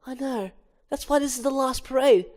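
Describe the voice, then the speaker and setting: A man's voice: extremely high pitch, moderate pace, darkish tone, voice pushed up. A man reading as a resigned woman florist. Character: resigned, matter-of-fact woman florist from cartoon film script.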